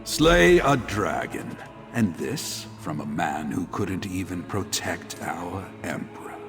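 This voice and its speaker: A man's voice: deep voice, animated, slow pace, gravelly, fairly bright tone, soft background music, exaggerated voice. A man playing a stern, haughty middle-aged general in a video game, with a sardonic, pompous delivery. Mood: scornful. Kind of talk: video game character dialogue. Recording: echoey hall reverb.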